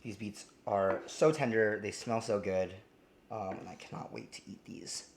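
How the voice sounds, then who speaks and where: A man's voice: fairly low pitch, measured pace, slight background noise. A man from New Jersey hosting a live cooking stream, talking casually and rambling with lots of ums and restarts. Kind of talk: casual live cooking demo, reading chat.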